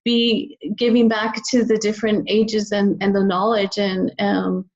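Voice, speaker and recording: A woman's voice: medium pitch, completely flat delivery, a little slow, dull audio. A woman speaking as a podcast guest, reflecting in an earnest, encouraging, conversational way. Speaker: podcast guest, children's book author. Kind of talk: conversational interview, personal reflection.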